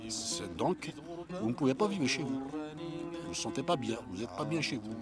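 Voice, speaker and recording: A man's voice: fairly high pitch, lively intonation, moderate pace. Earnest man with music behind. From Algeria. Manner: earnest, repeats himself and restarts, rising emphatic stresses. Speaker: interviewee. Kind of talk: reflective explanation.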